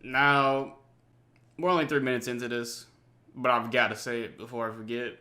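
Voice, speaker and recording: A man's voice: mid-range voice, bright, forceful tone. A man giving slangy, informal running commentary as the host of a reaction video.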